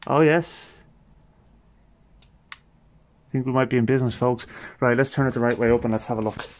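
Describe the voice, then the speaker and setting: A man's voice: mid-range voice, fairly even intonation, normal speed. An Irish man giving casual, hands-on repair commentary, thinking aloud in an informal way with wry understatement. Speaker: host of a radio repair video. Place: garage. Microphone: handheld phone.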